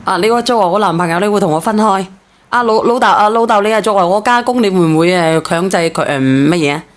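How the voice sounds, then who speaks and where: A woman's voice: medium pitch, fast talker. A woman with a Hong Kong accent hosting a call-in chat, blunt and pressing in her questions, with coarse slang. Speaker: call-in show host.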